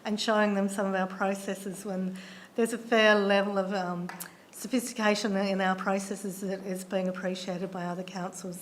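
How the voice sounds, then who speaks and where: Hoarse woman, flat steady delivery. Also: medium pitch, a little hiss or hum. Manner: measured, with frequent ums and restarts. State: losing her voice. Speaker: council officer answering councillors. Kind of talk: formal meeting answers.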